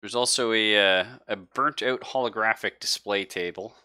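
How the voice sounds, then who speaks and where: A man narrating a live tabletop roleplaying game as game master, in a halting, conversational way. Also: on the low side, sharp tone, clear, resonant tone.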